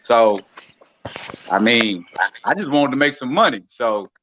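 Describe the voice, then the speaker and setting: A man's voice: mid-range voice, lively intonation, measured pace, fairly bright tone, a little hiss or hum. A man on a casual podcast, easygoing as he talks through his own path to work and sprinkles in "man" and "I mean.". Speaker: podcast co-host. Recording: dull lo-fi sound.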